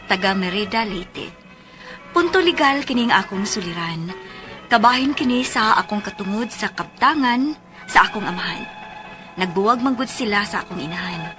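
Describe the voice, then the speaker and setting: An earnest middle-aged cebuano filipino letter writer, highish radio drama narration, music underneath. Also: female voice. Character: 47-year-old letter writer telling a family legal problem.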